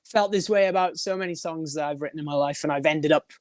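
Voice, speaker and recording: A young man with a high voice, a band singer talking in a casual podcast interview, rambling with many restarts and little laughs. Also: fast, dry recording, clean studio sound. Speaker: guest, band frontman and vocalist.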